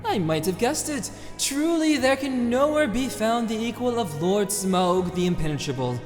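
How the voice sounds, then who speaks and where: A woman voicing a small, clever male hobbit burglar who stammers nervously and flatters slyly in an audio drama. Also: on the high side, moderate pace, lightly acted. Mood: flattering. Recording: roomy hall echo with music.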